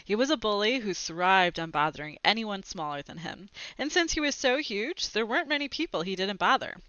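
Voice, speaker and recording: Bright-voiced young woman reading a storybook. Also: mid-range voice, lively intonation, a little fast, no room sound. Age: young adult. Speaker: read-aloud presenter. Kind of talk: storybook read-aloud with brief asides. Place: small room at home, indoors. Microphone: headset boom mic. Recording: slightly dull, lo-fi headset sound.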